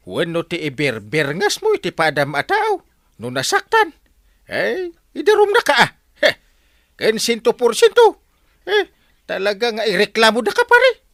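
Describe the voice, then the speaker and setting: A Filipino man playing an excitable, scolding village captain full of drawn-out exclamations in a radio comedy. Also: sits high, no echo, clean studio sound, full character voice. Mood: indignant scolding. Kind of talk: broad radio comedy drama acting.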